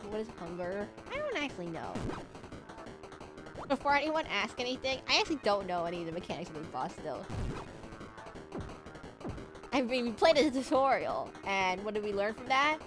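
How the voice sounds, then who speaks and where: A woman's voice: mid-range voice, sing-song, normal speed, pauses often, poor audio. A woman with a slightly nasal voice chatting casually and self-deprecatingly as she rambles through a live game stream. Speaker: streamer playing a video game. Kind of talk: casual live-stream chat while gaming. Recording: music playing behind the voice.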